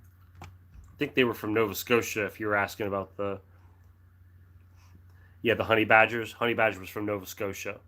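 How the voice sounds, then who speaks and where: Middle-aged American man, low voice with a bright edge, hosts a live chat, dry throat with a cough drop, rambles and clears his throat. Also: steady tone, normal speed, long pauses. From United States. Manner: friendly, rambling, frequent restarts and throat clearing. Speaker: livestream host reading chat.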